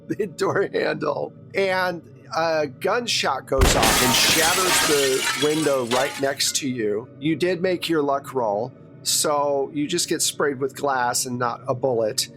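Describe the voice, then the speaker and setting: Casting: game master for a tabletop horror game, conversational, medium pitch, light music bed. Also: fairly bright tone. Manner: casual, conversational.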